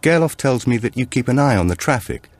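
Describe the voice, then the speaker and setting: Policewoman on enquiries read by a male narrator: pitch lifted, brisk, polite, questioning, audiobook crime drama. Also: lively intonation, no room sound, natural voice. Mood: businesslike, polite. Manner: businesslike, questioning.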